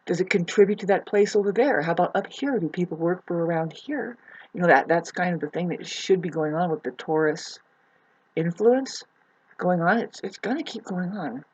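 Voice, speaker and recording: A woman with a fairly low, husky voice rambles through a casual solo vlog. Her delivery is halting and meandering, and she often leaves a thought unfinished before picking up another. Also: darkish tone, lo-fi sound. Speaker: vlogger. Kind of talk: rambling spoken monologue, casual chat.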